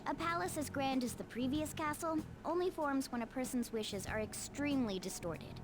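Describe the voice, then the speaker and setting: A woman's voice: sits high, moderate pace, close to an everyday voice. A woman voicing a cocky, know-it-all talking cat guide in an anime video game. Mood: explaining, confident. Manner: explanatory, self-assured, bossy. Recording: game music behind the voice.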